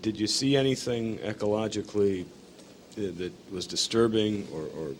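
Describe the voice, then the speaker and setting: A man's voice: lowish voice, normal speed. A man, a newspaper reporter, hesitantly asking and rephrasing a question at a news conference. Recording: hissy archival 1980s press conference audio.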